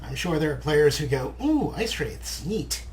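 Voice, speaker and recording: A man's voice: fairly high pitch, big pitch swings, a little slow. A man giving casual, wryly musing commentary as he plays a video game. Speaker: let's play host. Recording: clear background game noise. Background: video game sound effects.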